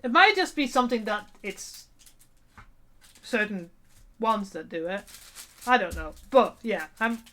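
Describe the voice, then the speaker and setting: A woman's voice: mid-range voice, animated, a little slow, pauses often, bright, forceful tone. A woman in her forties chatting casually on a live stream while sewing, rambling and laughing at herself. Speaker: cosplay streamer.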